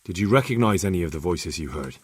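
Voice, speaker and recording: Earnest, low-voiced soldier. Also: male voice, lively intonation, fast talker, slightly rough, no echo. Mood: gentle, inquiring. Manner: polite, hesitant, gently questioning. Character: earnest, courteous young soldier investigating a raid. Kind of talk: dramatic video game acting.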